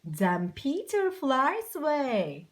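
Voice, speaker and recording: A woman storyteller teaching young children, telling a simple story in short, deliberate sentences and asking questions. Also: high voice, wildly swooping pitch.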